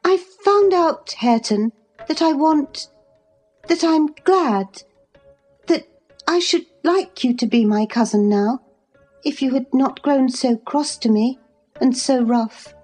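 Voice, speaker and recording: A woman's voice: high voice, slow pace, darkish tone, soft background music, pitched above the performer's own voice. A woman voicing a petulant, pleading young woman from a novel, earnest and insistent. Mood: coaxing.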